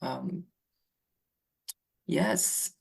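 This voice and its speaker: A woman from Taiwan, a podcast guest, giving lively and opinionated views in a casual chat over a call. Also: sits low, extremely slow, gravelly, slightly rough.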